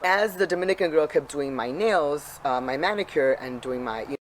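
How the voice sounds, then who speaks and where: A man telling a personal anecdote in an animated way, acting out the dialogue as he goes. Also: on the high side, a little fast, slight background noise. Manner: animated storytelling, acts out dialogue. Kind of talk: casual anecdote.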